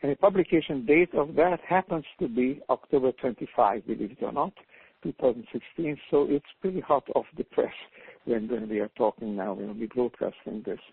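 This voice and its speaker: An older Hungarian man speaks English with his native accent, earnest and halting, repeating words as he builds his thoughts. His voice is husky and comes through a tinny phone line with a dry sound. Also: medium pitch, animated, no room sound. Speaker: panel guest, author. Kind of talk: reflective panel talk by phone. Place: calling in from home abroad.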